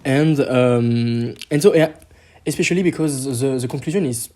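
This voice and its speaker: A man's voice: medium pitch, normal speed, a little mellow. A twenty-year-old man with a French accent chatting casually and hesitantly as a podcast guest, sometimes slipping into French. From Paris, France. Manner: hesitant, slips into French mid-sentence. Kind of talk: casual chat.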